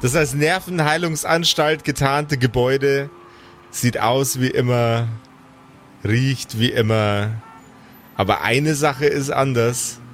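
A man's voice: mid-range voice, steady tone, slow, fairly bright tone, a little hiss or hum. A man narrating an improvised tabletop role-play as game master.

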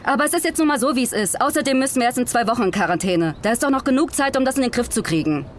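A woman's voice: a little high, expressive, rapid-fire, slight background noise, lightly acted. A woman playing a headstrong, combative astronaut in a naturalistic audio drama, speaking bluntly and insistently. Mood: firm, reassuring. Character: headstrong, combative female astronaut on a Mars crew.

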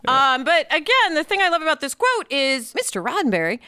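A woman from New York with an American accent, talking in a casual panel conversation in an animated, emphatic way and acting out imagined quotes. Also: sits high, a little fast, no room sound, clean studio sound. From New York, USA. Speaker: podcast co-host.

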